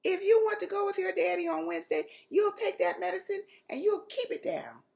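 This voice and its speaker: A woman's voice: very high voice, brisk, creaky, a little mellow, pitched above the performer's own voice, full character voice. A woman voicing an emphatic, firm grandmother from a storybook. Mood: stern warning. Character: stern, firm grandmother warning and doting on grandchild. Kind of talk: storybook character voice.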